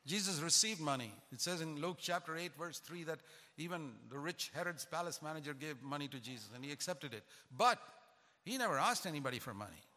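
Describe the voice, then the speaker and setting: Man, pastor preaching, emphatic repeated phrases, bright tense tone, reverberant hall. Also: a little high, expressive, brisk. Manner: emphatic, repetitive preaching cadence. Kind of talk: sermon.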